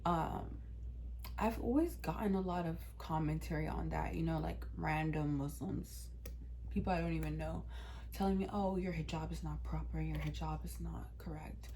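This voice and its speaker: Candid young woman vlogging, slightly low voice. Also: normal speed, mellow, a little hiss or hum. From Washington, D.C. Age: 20s. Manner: candid, chatty, many fillers like "um" and "like". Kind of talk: casual personal storytelling.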